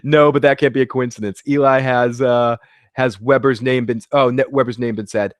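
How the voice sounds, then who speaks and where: A man's voice: medium pitch, steady tone, moderate pace, no echo. Sniffly Boston guy rambling through a recap. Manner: rambling, restarts and swears freely. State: sick with the sniffles. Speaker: show recap host. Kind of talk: casual episode recap and review.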